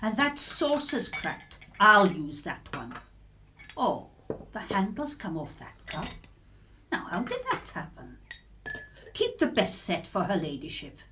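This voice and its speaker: A woman's voice: mid-range voice, wildly swooping pitch, unhurried, noisy background. An actress playing a nosy, chatty working-class English woman who puts on airs, in a radio drama. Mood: fussy, chatty. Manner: gossipy, colloquial, affected politeness.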